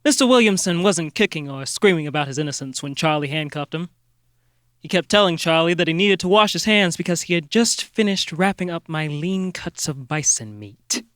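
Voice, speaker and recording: A man acts a self-important amateur detective in a comedy mystery drama. His fairly high voice moves around expressively as he earnestly lays things out, recorded dry. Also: lively intonation, moderate pace, a bit bright, dry recording. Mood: solemn, accusing. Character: self-serious part-time vegan amateur detective.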